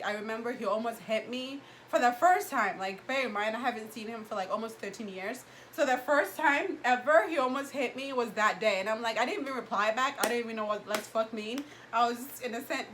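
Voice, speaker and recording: A young woman from Ghana with a fairly high voice chats casually as host of a vlog. She tells stories in a slangy, animated manner. There is faint room noise around her. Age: young adult. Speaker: vlog host asking questions. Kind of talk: casual chat with friends, storytelling.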